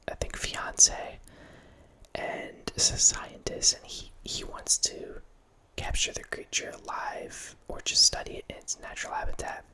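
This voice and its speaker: A man in his thirties, an ASMR host, whispering in a chatty, rambling way close to a studio mic in a room at home. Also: pushed and bright. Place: home room with bookshelves, indoors. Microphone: large studio mic close up, wearing headphones.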